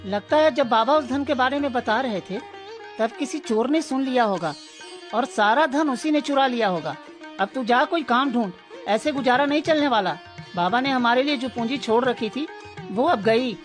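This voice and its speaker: A woman plays a crafty elder brother in a cartoon folk tale. The voice is high, brusque and bossy, a self-serving schemer, with music behind it. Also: lively intonation, moderate pace, close to an everyday voice. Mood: defensive, brusque. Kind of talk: dramatised children's story.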